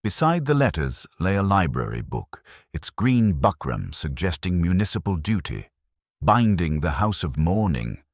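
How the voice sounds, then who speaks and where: Very deep-voiced male story narrator. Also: big pitch swings, moderate pace, no echo, clean studio sound. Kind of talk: scripted audiobook-style narration of a mystery story.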